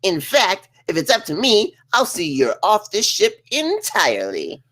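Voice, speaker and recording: A woman's voice: mid-range voice, wildly swooping pitch, a little slow, fairly bright tone, heavily acted. A woman playing a cocky, spiteful male spaceship officer with a boastful manner in a comedy table read. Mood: cocky, hostile. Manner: boastful, hostile.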